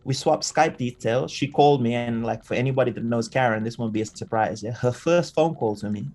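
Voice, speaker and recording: A man's voice: medium pitch, a little fast, darkish tone. A man in his thirties, raised in Fiji, telling stories as an interview guest, tagging lines with "yeah?" and "right?". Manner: chatty, keeps adding "yeah?" and "right?". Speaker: interview guest, jiu-jitsu coach. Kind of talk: casual storytelling interview.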